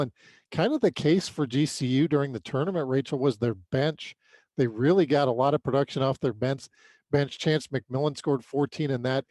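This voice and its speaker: A man with a mid-pitched voice talks through sports results as a podcast co-host. His delivery is conversational and a little halting, with repeated words as he lays out the analysis. The sound is dry, with very little room. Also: a little mellow, dry recording. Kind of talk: unscripted sports recap commentary.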